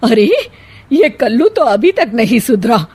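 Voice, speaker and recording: A woman plays a middle-aged mother-in-law from a family cartoon. Her voice sits on the high side. She is kind and easygoing but shrewd, and talks like a homely elder sister. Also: sing-song, unhurried, darkish tone, husky, no echo, exaggerated voice. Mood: annoyed. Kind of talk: Hindi animated comedy story acting.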